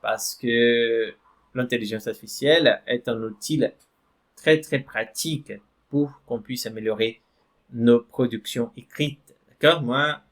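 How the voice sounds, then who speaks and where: Male French teacher, mid-pitched, patient and slow, reads screen text aloud. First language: Portuguese. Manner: slow, repeats "d'accord?" and "voilà" to check in. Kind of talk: online language lesson.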